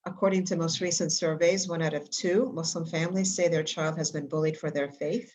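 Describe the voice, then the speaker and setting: An older woman from Egypt giving a prepared talk with slides at a school board meeting, halting and full of fillers and restarts. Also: low voice, fairly even intonation, a little mellow, slight background noise. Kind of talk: prepared public comment with slides.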